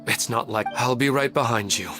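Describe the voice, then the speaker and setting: A man plays an earnest, courteous father in a video game. He has a medium-pitched adult voice and speaks politely, with music clearly playing behind him. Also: normal speed, lightly acted.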